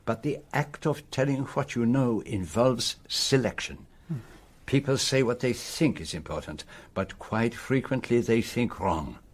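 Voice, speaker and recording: An actor playing a cerebral, self-assured Belgian detective in a radio play, speaking precisely and formally with a French-speaking Belgian accent. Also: male voice, mid-range voice, expressive. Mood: measured, self-assured. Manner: precise, deliberate, formal phrasing. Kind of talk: radio drama acting.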